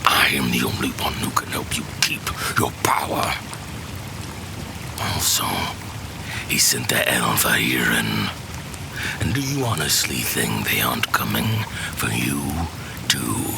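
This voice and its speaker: Loud rainy noise, imperious scheming villain, abyssally deep, heavily gravelled, fantasy audio drama. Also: male voice, exaggerated voice. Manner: slow, threatening, persuasive. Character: menacing, scheming fallen villain, commanding and imperious.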